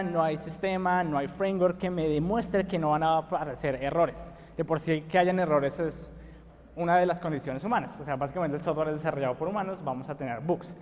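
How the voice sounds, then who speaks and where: A man's voice: fairly high pitch, darkish tone. A young man with a Bogotá Colombian accent, giving a conference talk with frequent hesitations and fillers. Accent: Bogotá Colombian Spanish. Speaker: conference speaker. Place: conference hall. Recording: long reverb of a conference hall.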